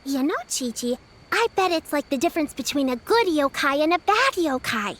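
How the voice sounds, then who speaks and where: A woman's voice: high voice, lively intonation, normal speed, fairly bright tone. A curious little girl. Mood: cheerful. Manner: eager, inquisitive. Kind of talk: video game voice acting.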